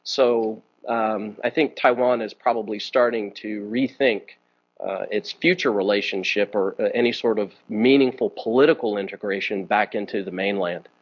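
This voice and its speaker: A man's voice: lowish voice, moderate pace. A man speaking at a lectern in a conference room, giving earnest answers with frequent fillers and restarts. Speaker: guest speaker. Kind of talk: forum talk and Q&A answers. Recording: slightly dull lo-fi sound.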